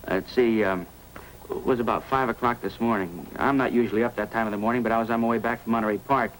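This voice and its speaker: A man's voice: mid-range voice, steady tone, fast, natural voice, rough recording. A man playing a friendly, talkative fish hobbyist and eager witness in a 1950s radio drama. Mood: chatty, earnest. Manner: talkative, folksy, hesitant asides. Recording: old radio recording with background noise.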